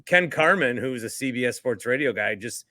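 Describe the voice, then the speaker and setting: A middle-aged man hosts a sports podcast with an easy conversational delivery. His voice sits at an ordinary male pitch. The sound is very dry, with hardly any room in it. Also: lively intonation, normal speed, bright, forceful tone, dry recording. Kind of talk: casual sports chat.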